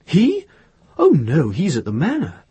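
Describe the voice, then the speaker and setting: A kindly, bustling homely woman from a storybook, as a male narrator puts her on. The voice lifts high and swoops about with a husky edge, chatty and generous. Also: huge pitch swings, unhurried, mellow, no room sound, full character voice. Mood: surprised, brisk.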